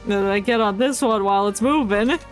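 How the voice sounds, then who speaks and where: Woman, casual live game commentary, middle pitch, playful, joking, quiet music in background. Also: brisk. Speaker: game streamer. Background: faint game music.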